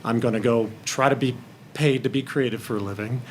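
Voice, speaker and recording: A man's voice: mid-range voice, fairly even intonation, brisk, a little hiss or hum. A man speaking candidly as a film panelist in a roundtable discussion, acting out bits of remembered conversation.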